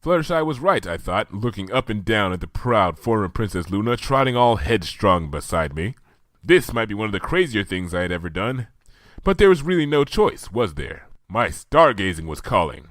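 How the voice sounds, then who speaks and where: A man narrates a story with an animated, swooping delivery and a bright tone. He reads close to the mic, and the sound is dry with no room. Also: on the low side, moderate pace, dry recording. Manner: lively, expressive narration. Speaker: reader. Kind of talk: reading fan fiction aloud.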